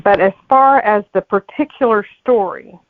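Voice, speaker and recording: A woman's voice: medium pitch, moderate pace, no echo. A woman guest, a novelist, chatting casually in an interview and thinking aloud over a narrow, phone-like connection. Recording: tinny, phone-like, narrow-band line.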